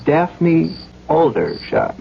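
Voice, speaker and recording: An actor playing a sober, earnest engineer who is conflicted, in a radio drama. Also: male voice, a little high, very animated, very slow, gravelly, dull audio, slight background noise, exaggerated voice. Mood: friendly, bashful. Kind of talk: radio drama acting with first-person narration. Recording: band-limited 1970s radio drama, music behind.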